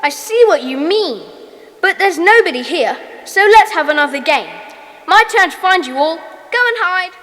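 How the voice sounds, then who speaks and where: Lively, high-pitched schoolboy leader. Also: female voice, normal speed, big-room sound. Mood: reassuring. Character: confident schoolboy leader of a children's club. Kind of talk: children's audio drama acting.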